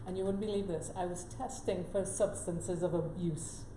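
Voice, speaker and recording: A woman's voice: on the low side, steady tone, normal speed. A woman from Trinidad, a guest expert on a talk show, explaining earnestly and step by step, often checking in with "right?". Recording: echoey room with faint noise.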